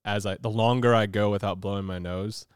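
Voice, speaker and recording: A man's voice: fairly low pitch, steady tone, fairly quick, clean tone, no echo, clean studio sound. A man co-hosting a casual podcast, sick with a stuffy nose, rambling with lots of fillers and laughing often. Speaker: podcast co-host.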